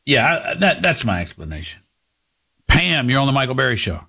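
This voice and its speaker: A man's voice: medium pitch, big pitch swings, a little fast, pushed and bright, breathy edge. A man in his fifties hosting a call-in radio talk show, musing at length and reassuring his callers. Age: 50s.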